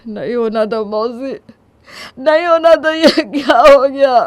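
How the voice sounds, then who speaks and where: Woman as young husband, audio story, proud, distraught, emphatic, melodramatic, raised pitch. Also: measured pace, vocal fry, a little mellow, dry recording. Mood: desperate pleading.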